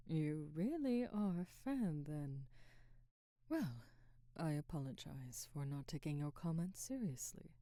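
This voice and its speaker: A woman playing a haughty, guarded middle-aged Hollywood actress in an ASMR roleplay, speaking formally in a soft, breathy voice that often nears a whisper. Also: lowish voice, no echo, studio quality. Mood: softening, sincere. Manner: formal, measured, aloof phrasing. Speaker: ASMR voice actor. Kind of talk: ASMR roleplay, scripted dramatic monologue.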